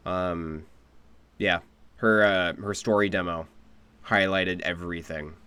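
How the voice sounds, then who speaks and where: A man's voice: sits low, fairly even intonation, slow pace, bright, forceful tone, clean tone, no echo. A man giving casual live reaction commentary as a game streamer.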